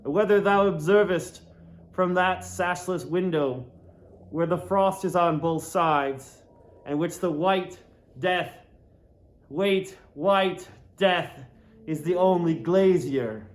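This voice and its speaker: Slow, theatrical male reader, higher-pitched voice. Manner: deliberate, dramatic, lingers on and repeats words. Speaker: audiobook reader. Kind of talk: literary narration, theatrical reading of a classic novel.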